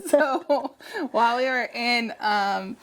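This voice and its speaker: A woman hosting a heartfelt conversation, reflective and hesitant, with many pauses and "um"s. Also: a little high, a bit bright. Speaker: podcast host.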